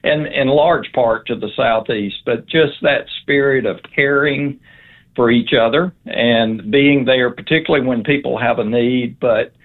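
A man's voice: mid-range voice, measured pace. Man on a thin phone line. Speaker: interview guest. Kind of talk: measured conversational talk.

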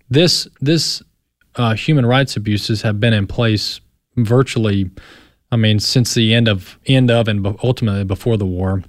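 A man's voice: medium pitch, dry recording, clean studio sound. A man hosting a radio talk show, introducing a guest and asking long, rambling questions with fillers. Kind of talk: radio interview.

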